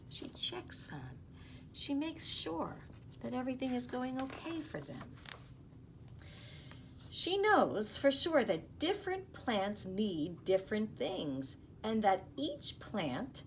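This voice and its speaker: A woman in her fifties reads a picture book aloud to children, expressively and unhurriedly, with soft explaining asides. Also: mid-range voice. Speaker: school storytime reader. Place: small office, indoors. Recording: clearly noisy small-room recording.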